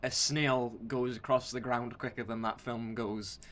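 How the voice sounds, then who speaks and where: A man's voice: mid-range voice, steady tone, measured pace, fairly bright tone. A man co-hosting a podcast, casually chatting about films with wry interjections. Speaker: podcast co-host. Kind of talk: casual film chat.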